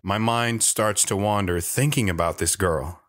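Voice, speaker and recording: A man's voice: lowish voice, a little slow, a bit bright, faint music behind. A man playing a wistful radio guest telling the story of his youth in an audio drama. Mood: reminiscing.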